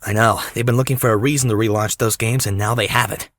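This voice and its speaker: A man's voice: on the low side, fairly even intonation, quick pace, a bit bright, dry recording, studio quality, close to an everyday voice. A man playing an exasperated, sarcastic, reluctant young hero in an audio drama. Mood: uneasy, sardonic. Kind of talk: fan audio drama acting.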